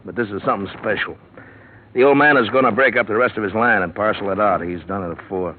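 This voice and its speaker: An actor playing a troubled small-town sheriff in a 1950s radio drama, steady and plain-spoken. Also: male voice, on the low side, quick pace, slight background noise, natural voice. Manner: shouting over a mob, then quiet and troubled. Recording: tinny lo-fi old radio broadcast.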